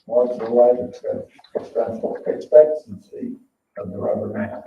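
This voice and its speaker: A man with a creaky, fairly low and flat voice puts informal questions as a board member. He sounds thin and far off in a very echoey room. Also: a little slow. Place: indoor town board meeting room. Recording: muffled lo-fi, echoey room, distant mic, noise.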